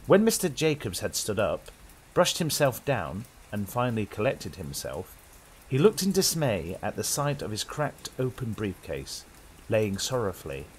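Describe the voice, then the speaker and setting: A young British man narrates a horror tale like an old radio host. His delivery is dramatic and animated, with deliberate pauses, over faint rain-like ambience. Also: lowish voice, a little hiss or hum, poor audio.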